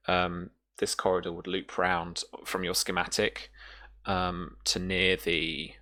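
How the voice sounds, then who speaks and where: A man narrating a tabletop role-playing game as game master in an improvised, halting way, pausing to find his words. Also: low voice, monotone, pushed and bright.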